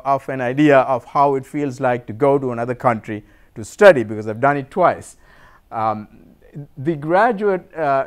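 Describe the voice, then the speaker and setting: A middle-aged man from Sri Lanka, a university professor, giving an informal lecture in a room. He speaks in a measured, hesitant way, with many fillers and repeated words. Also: mid-range voice, smooth. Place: lecture room in a cultural center.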